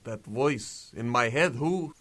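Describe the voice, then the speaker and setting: A man's voice: mid-range voice, expressive, slow, fairly bright tone. A man plays a young farm lad in a superhero audio drama. He speaks earnestly and dutifully, with a mid-range voice. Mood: puzzled.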